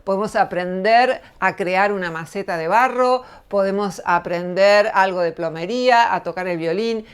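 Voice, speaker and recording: A middle-aged woman with an Argentine accent explains her ideas in a measured, reflective way. Her voice is smooth and clear, set at an ordinary middle pitch. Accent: Argentine Spanish. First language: Spanish. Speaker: channel host, lecturer. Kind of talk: reflective spoken essay to camera.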